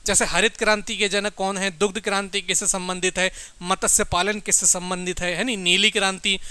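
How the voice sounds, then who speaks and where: A man's voice: high voice, level tone, brisk, sharp tone, smooth, no echo. A man teaching exam aspirants in an informal lecture, emphatic and instructive, often checking in and addressing listeners as friends.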